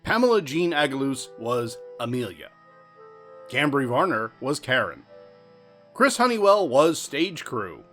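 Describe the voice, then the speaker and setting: Male credits announcer, scripted read, lively swings in pitch, guitar behind. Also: unhurried. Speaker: announcer reading closing credits. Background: guitar music.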